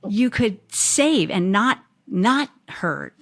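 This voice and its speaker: A woman's voice: mid-range voice, animated, drawn-out words, a bit bright. A woman hosting a casual podcast interview, talking in a rambling, reflective way and restarting her phrases often. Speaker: podcast host.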